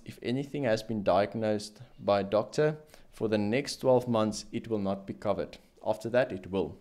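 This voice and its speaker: A young man with a South African accent, a financial planner hosting a show, explaining medical aid rules methodically while gesturing with his hands, recorded in a small studio room on a boom-arm microphone. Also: a little mellow. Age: young adult. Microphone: boom-arm studio mic in frame.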